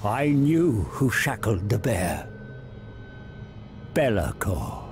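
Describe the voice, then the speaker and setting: An actor playing a weary, scheming old scholar in a game cinematic, grave, theatrical and deliberate. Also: male voice, fairly low pitch, big pitch swings, music in the background, exaggerated voice. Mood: brooding, scheming. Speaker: narrator and advisor character. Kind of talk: dramatic game cinematic narration.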